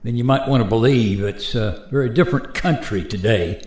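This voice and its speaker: A man's voice: mid-range voice, normal speed, a little mellow, natural voice. A man playing an easygoing modern airline pilot in a radio play, talking in a casual, explanatory way. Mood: skeptical, casual. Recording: roomy, echoing sound.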